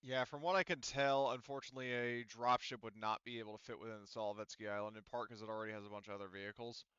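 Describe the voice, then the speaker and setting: A man's voice: lowish voice, steady tone, fast, fairly bright tone, smooth, no room sound, dull audio. A man acting as game master, explaining the setting in a rambling, casual way with many fillers. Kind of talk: casual tabletop game narration.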